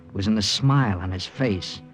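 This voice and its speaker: Male actor as terse American WWII operative, low register, suspicious, old radio drama style, music underneath. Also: fairly quick, natural voice. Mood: tense narration. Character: wary, hard-bitten American WWII secret agent, narrating. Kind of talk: 1940s radio drama acting and first-person narration.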